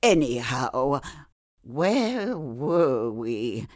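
A woman's voice: rumbling low, very animated, extremely slow, no echo, exaggerated voice. A woman playing a haughty, dry-witted witch storyteller in a comic fantasy audio drama. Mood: dry, matter-of-fact. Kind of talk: scripted comic fantasy banter.

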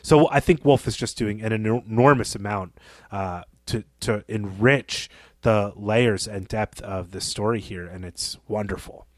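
A man's voice: lowish voice, unhurried, dry recording. Thoughtful man analysing fiction, dry sound. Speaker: podcast co-host. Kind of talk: analytical literary discussion.

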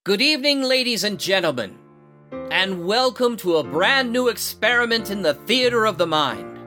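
A man's voice: high-pitched, very animated, normal speed, sharp tone, faint music behind. A man hosting a radio theater show, giving a formal, showman-like scripted introduction. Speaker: host.

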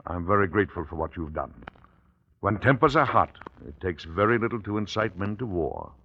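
An actor playing a dignified, genial middle-aged American president in an old-time radio drama, speaking in a measured, formal way. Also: male voice, low voice, big pitch swings, slight background noise. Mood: gracious, measured. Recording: dull vintage radio recording.

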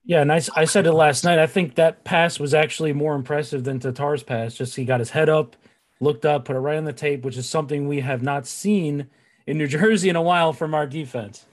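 A man's voice: a little high, fairly quick. A man hosting a sports show, chatting casually about the game as a fan would. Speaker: sports podcast host.

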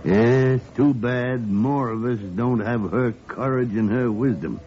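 An actor playing a kindly, plain-spoken senior doctor in 1940s radio drama style. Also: male voice, medium pitch, slow, mellow, lightly acted. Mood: admiring. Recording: dull, noisy old radio recording.

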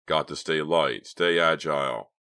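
Man doing a deep, bossy robbery ringleader. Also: a little slow, pushed and bright, clean tone, no room sound, exaggerated voice. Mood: brisk, dry. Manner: curt, dry, commanding. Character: tense, bossy, impatient young male robbery ringleader.

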